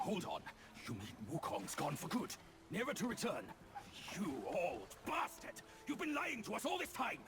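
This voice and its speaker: A man's voice: high voice, wildly swooping pitch, normal speed, background noise. An actor playing a brash, hot-tempered but loyal male pig companion in a video game, blunt and emotional. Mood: outraged. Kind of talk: dramatic video game dialogue. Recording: music behind the voice. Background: game score music.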